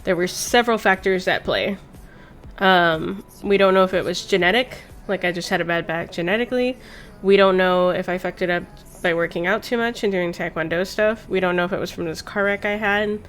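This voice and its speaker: Casual woman in her thirties, lowish voice. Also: brisk, fairly bright tone. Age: 30s. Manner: informal, rambling, sweary. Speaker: streamer hosting a chat stream. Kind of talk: casual chat, personal storytelling. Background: background music.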